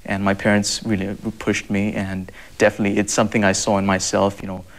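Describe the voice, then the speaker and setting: A man's voice: on the low side, monotone, a little fast. A young man from Zambia, answering interview questions earnestly, with many fillers and repeated words. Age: young adult. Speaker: guest.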